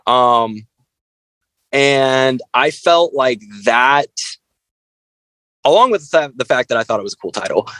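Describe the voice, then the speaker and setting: A man speaking as an interview guest, chatting casually and haltingly in informal phrases peppered with filler words. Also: mid-range voice, lively intonation, fairly bright tone, no room sound. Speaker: interview guest, band frontman.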